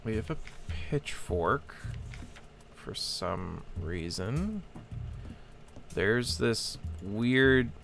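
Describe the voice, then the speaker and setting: A man's voice: on the low side, expressive, extremely slow, gravelly, pauses often, fairly bright tone, faint music behind. A man hosting a game playthrough with casual commentary, hesitant and rambling as he thinks aloud. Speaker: host of a gameplay channel.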